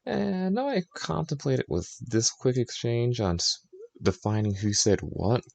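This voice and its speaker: A man's voice: mid-range voice, lively intonation, a little slow, vocal fry, darkish tone. A man streaming as he writes fan fiction, casually explaining his choices and thinking aloud. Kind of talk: casual commentary on his own writing.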